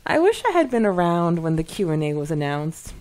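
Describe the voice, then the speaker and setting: A woman with a slightly low voice co-hosts a casual podcast. She reads listener comments and chats enthusiastically, often halting and starting her phrases again. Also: very animated, a little mellow. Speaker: podcast co-host.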